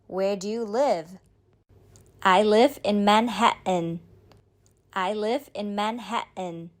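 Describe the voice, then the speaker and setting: A woman reading a beginner's speaking drill, saying each line slowly and clearly, then repeating it. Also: medium pitch. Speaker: model speaker in a language drill. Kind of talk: slow scripted drill reading.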